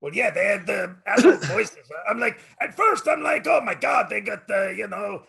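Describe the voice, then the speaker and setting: A man voicing a crude, goofy male guest character, raspy and gravelly, cracking lewd jokes and repeating "yeah, yeah, yeah" on a casual podcast. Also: high-pitched, big pitch swings, moderate pace, bright, forceful tone, exaggerated voice. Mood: crude joking.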